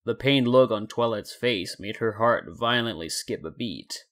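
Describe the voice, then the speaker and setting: A man's voice: on the low side, measured pace, a bit bright. A man narrating a scripted story in a dramatic reading style. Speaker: narrator and fan fiction reader.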